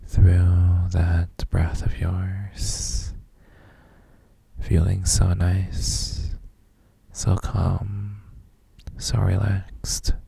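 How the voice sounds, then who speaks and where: Guy playing a sweet boyfriend doing sleep asmr, super breathy gravelly murmur, flat and soothing, really close and dry. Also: sits low, dry recording, heavily acted. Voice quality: very soft, breathy, gravelly, often near whisper. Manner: slow, hesitant, murmured reassurances with hums. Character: gentle, doting boyfriend soothing partner to sleep. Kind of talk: ASMR roleplay, guided sleep meditation.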